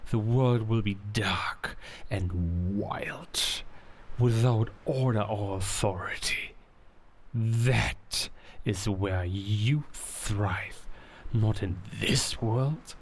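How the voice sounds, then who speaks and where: An actor playing a scheming werewolf king who coaxes and manipulates in a theatrical, insinuating way, with drawn-out words and hushed asides, in an audio drama. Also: fairly low pitch, slight background noise. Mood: persuasive scorn. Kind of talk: cinematic audio drama villain acting.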